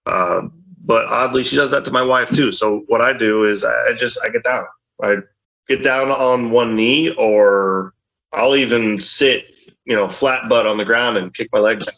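A middle-aged father on a parenting podcast, talking loudly in a rambling, casual way with a mildly deep voice, often saying "you know what I mean.". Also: a little slow, creaky. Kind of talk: casual chat. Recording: dull lo-fi audio.

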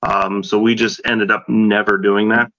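Low bright-voiced man, hesitant. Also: little pitch movement, measured pace, no room sound, muffled recording. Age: late 30s. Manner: hesitant, many ums and restarts. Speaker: podcast co-host. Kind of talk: casual chat.

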